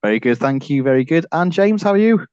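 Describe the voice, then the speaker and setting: Male podcast host, highish and very animated delivery, casual chat, slightly dull recording. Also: quick pace, clear, resonant tone, dry recording. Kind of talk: lively podcast introduction chat.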